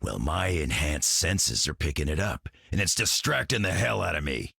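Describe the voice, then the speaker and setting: An actor playing a gruff, weary old mutant brawler in a video game, with a gravelly growl and grumbling, folksy slang. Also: male voice, a bass voice, animated, normal speed, sharp tone, no echo, clean studio sound, full character voice. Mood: irritated. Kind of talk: video game character lines.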